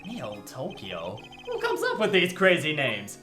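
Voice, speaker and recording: A woman's voice: deep voice, wildly swooping pitch, a little slow, a bit bright, faint music behind, heavily acted. A woman playing a sarcastic young male defense lawyer in a fan-dubbed game, with dry, wry asides. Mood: wry, businesslike. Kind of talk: fan-dub game acting.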